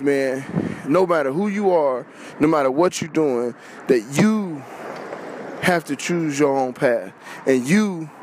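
Earnest man, slightly high voice. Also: a little hiss or hum. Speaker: podcast guest, business owner. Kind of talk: informal personal storytelling.

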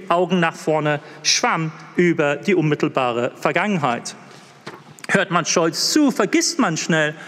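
Male guest lecturer, English accent, non-native German speaker, formal prepared talk, voice a touch high, reverberant hall. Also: fairly bright tone. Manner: measured, reads a prepared text with occasional slips.

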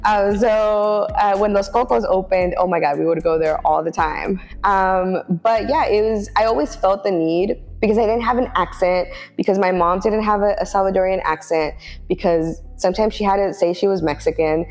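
A woman's voice: medium pitch, moderate pace, a little mellow, big-room sound, soft background music. A woman from Oakland, California, talking as a podcast guest in a reflective way, with many fillers and restarts and easy laughs. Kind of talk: casual podcast conversation.

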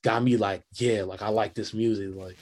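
A man's voice: lowish voice, fairly even intonation, normal speed, a little mellow. A young man, a guest musician, chatting casually on a video call, rambling with lots of fillers. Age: young adult. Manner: loose, rambling, filler-heavy talk. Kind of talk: casual interview chat.

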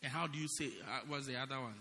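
A man preaching a dramatic sermon as pastor in a church, swinging from loud shouts to quiet asides. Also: mid-range voice, fast talker, gravelly, big-room sound, a little hiss or hum.

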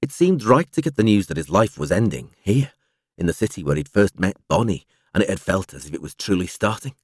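A male narrator with a fairly low voice reads a novel aloud. His intonation is lively and moves widely as he shapes each phrase in a measured storytelling manner. The sound is dry and close, like a studio audiobook. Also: no room sound. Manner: expressive, measured storytelling delivery. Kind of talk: scripted literary narration.

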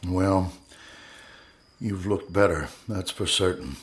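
An actor playing a grim, grieving, commanding Secretary of War in a historical audio drama, with formal, bitter, deliberate oratory. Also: male voice, low voice.

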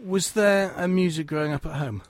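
A man presenting a radio music show, reading a scripted introduction and asking interview questions in a measured, formal way. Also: high voice.

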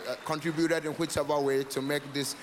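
A man's voice: a little high, level tone, brisk. A man in his twenties with a Ghanaian accent gives an earnest personal speech of thanks, repeating phrases for emphasis. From Northern Ghana. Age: 20s. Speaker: project founder, guest speaker. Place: large event hall. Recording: echoey hall with background noise.

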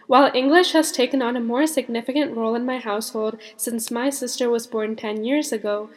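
A woman's voice: a little high, steady tone, fairly quick. A teenage young woman, co-hosting a youth podcast in a scripted, structured way. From born in India, moved to the United States before kindergarten. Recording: reverberant room with long echo.

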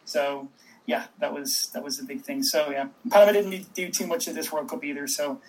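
Irish man, slightly high voice, tentative. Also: steady tone, moderate pace, a little mellow, rough recording. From Dublin, Ireland. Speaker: interview guest, author. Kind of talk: conversational podcast interview.

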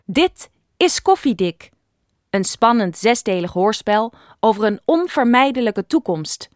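A woman's voice: a little high, sing-song, measured pace, no echo, studio quality. A woman announcer reading a scripted introduction to an audio drama series.

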